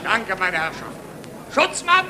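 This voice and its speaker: Ein Schauspieler spielt in einem deutschen Hörspiel der 1940er einen wichtigtuerischen, nationalistischen Psychiater, pompös und theatralisch deklamierend. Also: male voice, high-pitched, big pitch swings, a little slow, a loud crowd. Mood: officious, curt. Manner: pompous, officious, theatrical oratory. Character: bombastic, nationalist German psychiatrist, self-important official. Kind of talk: 1940s German radio play, declamatory speech. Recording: noisy 1940s radio recording.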